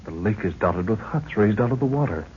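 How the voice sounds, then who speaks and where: An actor playing an excitable, deferential younger man, urgent and impatient, in a 1930s radio adventure drama. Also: lowish voice, fairly quick, lightly acted. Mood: impatient, anxious. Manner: excitable, urgent, calls his leader sir. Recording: scratchy, muffled 1930s radio recording.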